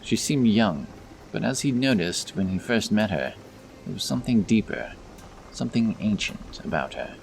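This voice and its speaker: A man's voice: fairly low pitch, lively intonation, normal speed, vocal fry, a little hiss or hum, poor audio. A man narrating a scripted fantasy story as the storyteller of an audio drama.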